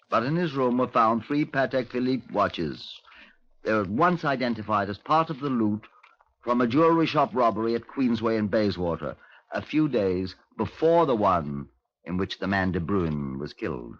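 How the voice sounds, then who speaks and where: An actor playing a dry, authoritative middle-aged Scotland Yard inspector with a British accent in a 1950s radio drama. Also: male voice, fairly low pitch, fairly bright tone. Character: measured, wry, authoritative male Scotland Yard police inspector. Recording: dull, lo-fi 1950s radio recording.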